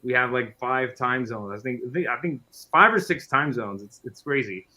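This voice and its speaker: A French Canadian man whose first language is French talks as a guest on an interview show. His voice is bright and forward at a middling pitch. He is friendly and chatty, often restarting his phrases. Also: normal speed. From Quebec, Canada. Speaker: guest musician and guitar teacher. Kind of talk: casual interview chat.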